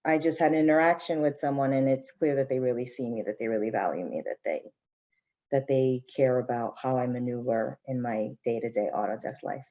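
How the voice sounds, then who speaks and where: A woman's voice: a bass voice, fairly quick, mellow, dull audio. A woman speaking thoughtfully and earnestly as a panelist in a discussion, often checking in with a questioning tag. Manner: earnest, reflective, asks "right?" as she goes.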